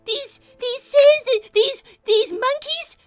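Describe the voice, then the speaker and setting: Cartoon bunny girl, extremely high voice, prim and formal, excitable, easily indignant. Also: takes it slowly, faint music behind. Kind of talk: live in-character improv reading chat messages.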